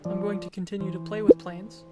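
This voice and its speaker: A woman's voice: mid-range voice, little pitch movement, a little fast, loud music behind the voice. A woman giving an informal, matter-of-fact presentation as a student, explaining and narrating a game demo.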